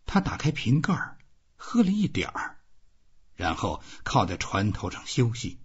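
A man's voice: medium pitch, wildly swooping pitch, a little slow, breathy edge, dull audio. A man reading scripted literary narration as an audiobook narrator.

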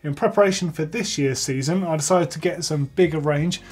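A man's voice: fairly high pitch, a little fast, slightly rough. A man in his thirties hosting a bike tech show, chatting enthusiastically as he reads out viewer entries in a workshop. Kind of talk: casual reading and reacting to viewer entries. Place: workshop with tools on the wall.

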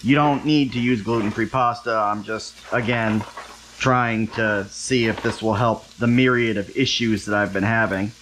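A man's voice: on the low side, fairly even intonation, moderate pace, fairly bright tone, a little hiss or hum, rough recording. A man hosting a home cooking show, chatting casually and making wry, self-deprecating asides as he cooks. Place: home kitchen, stovetop.

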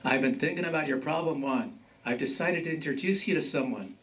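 A man's voice: fairly high pitch, brisk, a bit bright, pitched above the performer's own voice, natural voice. A man voicing a grumpy, cynical dead grandfather in a story, blunt and needling. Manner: blunt, scolding, needling with questions. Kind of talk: storytelling character voice. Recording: distant mic with background noise.

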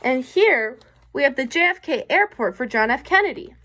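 A woman's voice: sits high, animated, normal speed, bright, forceful tone, slight background noise. A young adult woman reading a picture book to children as a teacher would, with explanatory asides and questions to her listeners. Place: small room indoors.

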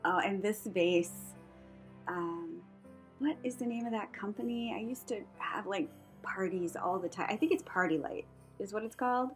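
A woman's voice: mid-range voice, normal speed. A woman in her fifties giving a casual, rambling, chatty voice-over for a home vlog, prone to chuckling. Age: 50s. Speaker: craft vlogger. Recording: music behind the voice. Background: music.

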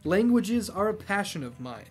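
A man voicing a calm, gracious temple priest, speaking softly but firmly in a measured, courteous way. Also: high-pitched, breathy edge, faint music behind, full character voice.

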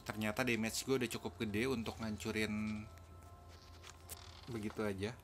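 A man's voice: fairly low pitch, level tone, normal speed, fairly bright tone, soft background music. A man with an Indonesian accent giving casual commentary on a video game he is playing. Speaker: gaming video host. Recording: clear background noise, game ambience.

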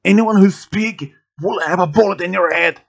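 A man's voice: on the high side, slow, sharp tone, husky, full character voice. A man voicing an enraged, unstable armed young man who screams threats and demands in an amateur story reading. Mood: menacing, furious.

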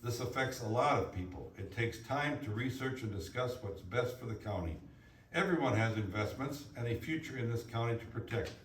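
A man reads written statements aloud at a public hearing. His voice sits at a middle pitch. The room is echoey and he sounds away from the mic, with faint noise behind. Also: lively intonation, brisk, distant mic. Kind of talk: reading prepared statements aloud at a public meeting.

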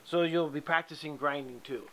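A middle-aged man giving a casual tutorial from his home metal workshop, plain-spoken and relaxed, with ums and restarts. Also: on the high side, normal speed. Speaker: hobby welder teaching a project.

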